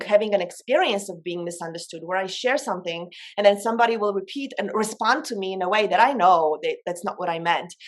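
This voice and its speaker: Female coach, mid-pitched voice, informal motivational delivery with emphatic, dramatized phrasing. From Poland. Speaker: coach and speaker. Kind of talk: informal motivational talk on spiritual self-development.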